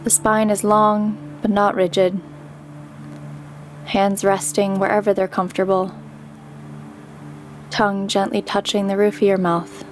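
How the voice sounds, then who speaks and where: A woman leading a guided meditation with calm, gentle instruction and pauses between phrases. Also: medium pitch. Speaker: meditation guide, yoga teacher. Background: background music.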